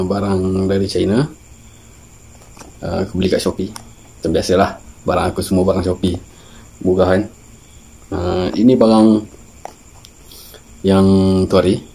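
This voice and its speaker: A Malaysian man in his thirties chatting casually and haltingly as an informal vlogger, often searching for words. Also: deep voice, creaky, mellow, slight background noise. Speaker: fishing channel vlogger. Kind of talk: casual unboxing chat. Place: small room indoors with guitars on the wall.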